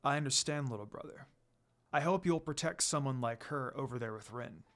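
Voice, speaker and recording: A man voicing a proud, protective demon elder brother with a curt, low-key manner. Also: brisk, a bit bright, natural voice. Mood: approving.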